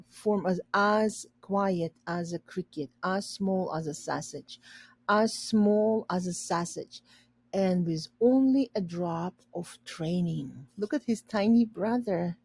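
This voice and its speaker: A woman teacher reading a storybook aloud, pausing to explain and rephrase words simply for learners. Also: medium pitch, dark tone, husky, dry recording.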